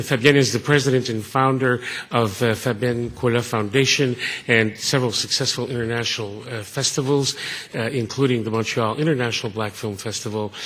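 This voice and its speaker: A man's voice: medium pitch, a bit bright. A man moderating a conference panel, introducing guests in French and English with frequent hesitations. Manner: halting, many fillers, switches between French and English. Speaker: panel moderator and radio host. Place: conference hall. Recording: reverberant hall.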